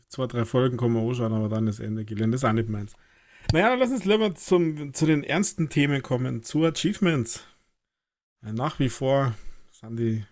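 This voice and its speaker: A man's voice: mid-range voice, a little mellow, dry recording. A German-speaking man co-hosting a casual gaming podcast, easygoing and dry in his replies. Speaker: podcast co-host.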